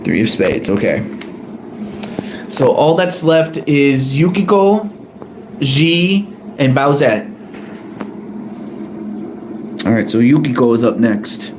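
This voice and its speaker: A man's voice: medium pitch, very animated, slow pace, noisy background. A man casually drawing cards on a live stream, hesitant and apologetic, often correcting himself. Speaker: streamer drawing cards for a fan wrestling tournament.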